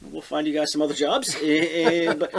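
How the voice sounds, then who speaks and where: A man's voice: on the high side, little pitch movement, normal speed, creaky, a crowd in the background. An American man co-hosting a podcast, discussing politics casually with sardonic rhetorical questions. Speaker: podcast co-host.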